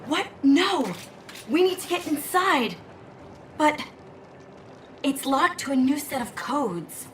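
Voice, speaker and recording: A commanding young operator in a sci-fi game, high and urgent. The voice sounds roomy and set back from the microphone. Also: female voice, animated, takes it slowly, long pauses. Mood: insistent. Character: determined young female space operator, commanding. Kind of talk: video game cinematic acting. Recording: roomy, distant, faint background noise.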